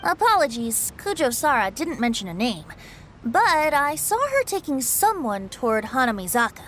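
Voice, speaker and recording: A woman's voice: high voice, big pitch swings, normal speed, fairly bright tone, a little hiss or hum. A woman voicing a playful, carefree young male bard who teases and laughs easily. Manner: teasing, breezy, laughs easily.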